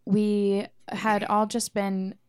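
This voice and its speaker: A female musician in a casual interview. Her voice is medium-pitched, smooth and clear, and her delivery is informal and conversational. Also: completely flat delivery, very slow, darkish tone. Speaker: band member being interviewed. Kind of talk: casual chat.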